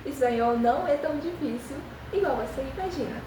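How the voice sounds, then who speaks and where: A young woman who is a native Spanish speaker, speaking Portuguese with a Spanish accent and teaching beginners with an encouraging manner, repeating phrases slowly for practice. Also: high voice, expressive, dark tone, reverberant, far from the mic, slight background noise, poor audio. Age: young adult. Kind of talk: beginner language lesson.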